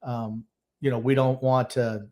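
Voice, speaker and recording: A man's voice: mid-range voice, level tone, a little slow, dark tone. An older man co-hosting a sports podcast, giving opinionated, sardonic takes in a casual, grumbling way.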